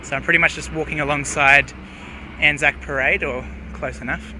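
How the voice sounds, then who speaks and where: A man, casually narrating while he walks outdoors, relaxed and hesitant, with many fillers. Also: on the high side, moderate pace, rough recording. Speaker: urban explorer vlogger. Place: outdoors beside a busy road. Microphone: handheld camera mic, speaker off screen. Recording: outdoor camera audio with clear traffic noise.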